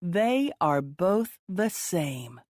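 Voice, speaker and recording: A woman reading children's stories aloud in a deliberate, expressive way for young listeners. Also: fairly low pitch, no echo, studio quality. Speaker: children's storybook reader. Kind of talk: read-aloud children's story narration.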